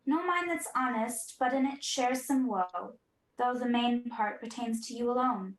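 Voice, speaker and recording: A young woman plays a grave, sorrowful messenger in a Shakespeare radio drama. The voice is fairly high and rings in a very echoey room. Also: a little slow, close to an everyday voice. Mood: reluctant, sorrowful. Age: young adult. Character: sorrowful noble messenger bearing dreadful news. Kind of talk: 1940s radio play Shakespeare acting.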